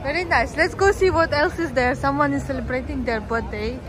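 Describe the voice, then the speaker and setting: Excited young woman vlogging with phone. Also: sits high, brisk. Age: young adult. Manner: excited, talks to viewers and her small son. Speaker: family vlogger. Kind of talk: casual vlog narration. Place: busy mall and aquarium, indoors and out. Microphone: phone at arm's length. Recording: loud crowd and street noise.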